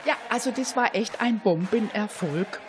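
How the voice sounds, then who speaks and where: A chatty, gleeful lady sleuth acted by a woman in a homemade radio crime play. She has a medium-pitched voice and gossips in folksy, colloquial phrases. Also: moderate pace, music in the background, natural voice. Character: chatty, gleeful female amateur detective.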